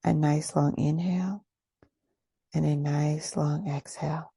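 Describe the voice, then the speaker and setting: A woman leading a guided meditation in a soft, breathy voice, soothing and unhurried, pausing between short phrases. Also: low voice, fairly even intonation, no room sound, studio quality. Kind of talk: guided meditation, gentle scripted instruction.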